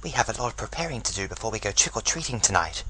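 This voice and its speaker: A woman voicing a smug teenage boy who shows off a put-on accent, in a smooth, self-satisfied manner. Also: rumbling low, sing-song, rapid-fire, bright, forceful tone, pitched below the performer's own voice, exaggerated voice.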